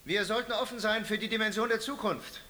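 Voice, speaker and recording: A man's voice: high-pitched, fairly even intonation, a little fast, bright, forceful tone. An actor in a radio drama playing an earnest man raising concerns in a public discussion. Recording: long hall reverb.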